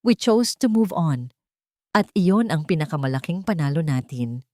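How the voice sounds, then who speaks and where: Woman voicing a low, solemn, forgiving older brother. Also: animated, moderate pace, mellow, no echo, clean studio sound. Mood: solemn, resolved.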